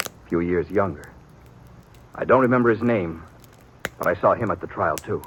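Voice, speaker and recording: An actor playing a stern young rancher in a radio western, talking in blunt, emphatic frontier phrases. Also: male voice, sits low, normal speed, fairly bright tone. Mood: grim, intense. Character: stern, hearty young rancher, protective brother, fixed in opinions. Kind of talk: 1950s radio western acting. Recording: dull, noisy vintage radio recording.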